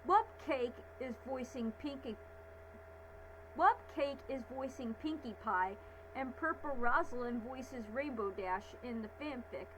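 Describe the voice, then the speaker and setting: Woman, high voice, casual reaction commentary, halting, repetitive phrasing, noticeable background hum. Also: expressive. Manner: halting, repeats herself. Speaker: reaction host. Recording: clear background noise and hum.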